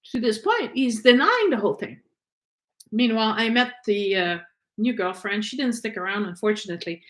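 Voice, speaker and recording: A woman's voice: a little high, a bit bright. A woman whose first language is French, hosting a podcast and candidly recounting her own experiences in a conversational way. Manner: candid, conversational, frequent fillers and restarts. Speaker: podcast host. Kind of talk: personal storytelling.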